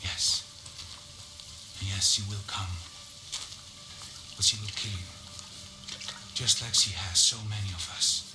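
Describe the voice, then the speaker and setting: A man voicing a grave mystical guide in a video game, speaking in a solemn, measured, portentous way. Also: low voice, steady tone, background noise. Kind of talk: dramatic video game voice acting. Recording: echoey, music underneath. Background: music.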